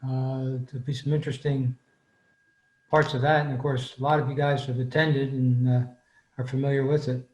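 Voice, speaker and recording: A man giving an informal announcement to fellow club members in a halting way, over roomy video call audio. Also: mid-range voice, little pitch movement, a little mellow.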